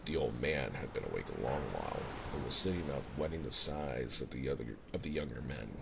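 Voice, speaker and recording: Male ASMR storyteller, rock-bottom pitch, unhurried scripted reading, ocean sounds clearly audible behind him. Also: animated, noisy background. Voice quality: very deep, gravelly. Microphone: binaural ASMR microphone, close.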